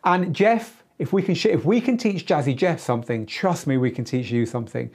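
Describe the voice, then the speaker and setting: Middle-aged man, higher-pitched, chatty explainer. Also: expressive, fairly quick, darkish tone, breathy edge. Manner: chatty, emphatic, informal. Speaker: host and DJ tutor. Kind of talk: live Q&A, casual explaining to camera. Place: small indoor studio. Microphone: lapel mic.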